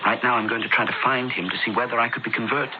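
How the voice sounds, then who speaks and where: A man's voice: medium pitch, steady tone, very fast, close to an everyday voice. An actor playing an earnest, devout young man of ancient Pompeii in a radio drama. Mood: confiding, fervent. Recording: dull noisy old radio broadcast.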